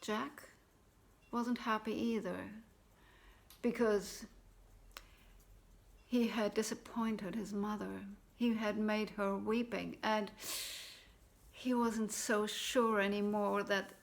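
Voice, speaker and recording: A woman telling a fairy tale expressively, with deliberate phrasing and dramatic pauses. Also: medium pitch, level tone, vocal fry. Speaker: storyteller.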